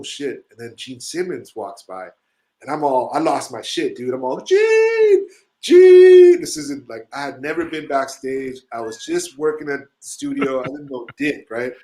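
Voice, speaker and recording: A middle-aged man from Chile telling rambling, profanity-laced stories in a casual chat, animated, with frequent asides. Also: medium pitch. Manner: rambling, swearing, animated storyteller. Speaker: musician telling stories on a show.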